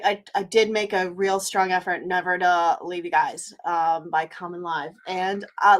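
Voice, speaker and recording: A woman from Canada chatting casually on a live vlog, rambling with lots of fillers and restarts. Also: mid-range voice. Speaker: vlogger. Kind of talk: casual live-stream chat.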